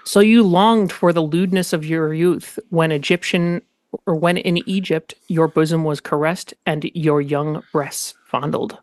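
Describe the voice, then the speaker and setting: A woman's voice: lowish voice, a little mellow, no room sound, studio quality. A woman co-hosting a podcast, moving between scripted reading and personal discussion. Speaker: podcast host.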